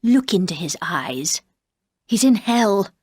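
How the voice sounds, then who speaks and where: A woman voicing a bitter, menacing older housekeeper who speaks slowly and coldly, often dropping to a whisper. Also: very animated, a little mellow, breathy edge, no room sound, clean studio sound, full character voice. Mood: cold menace. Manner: slow, low, cold, often whispered.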